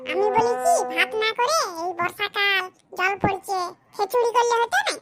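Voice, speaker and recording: A performer voicing a chatty, demanding newlywed village wife in a comedy cartoon, with a pitched-up voice. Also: lively intonation, moderate pace, sharp tone, a little hiss or hum, exaggerated voice. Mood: coaxing, chatty.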